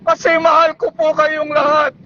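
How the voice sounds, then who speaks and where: A man with a Filipino accent delivers a street sermon in a very high, strained-up pitch that stays on one level. He pleads and exhorts at shouting volume. The recording is dull and lo-fi. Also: monotone, moderate pace, slight background noise. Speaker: street preacher. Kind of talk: evangelistic public sermon. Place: busy public bus terminal.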